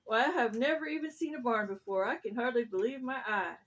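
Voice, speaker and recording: A woman's voice: on the high side, a little fast, a bit bright, rough recording. A woman voicing a big, proud, naive rhinoceros in a children's story, earnest and full of wonder. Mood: awestruck.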